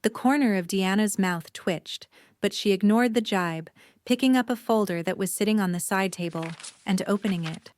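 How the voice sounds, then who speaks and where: A woman's voice: mid-range voice, normal speed, a little hiss or hum. A woman reading scripted story narration for an audio drama.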